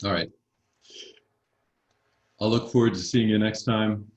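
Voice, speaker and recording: A male voice coach speaks in a firm, matter-of-fact way. His voice sits somewhat low, and the recording carries a roomy echo. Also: fairly even intonation, unhurried, darkish tone, highs cut off, a little hiss or hum. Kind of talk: voice lesson conversation.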